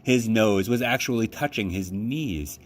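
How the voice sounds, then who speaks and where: A man reading a children's book aloud as a storytime host, with measured, deliberate phrasing and dramatic emphasis. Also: fairly low pitch, slight background noise.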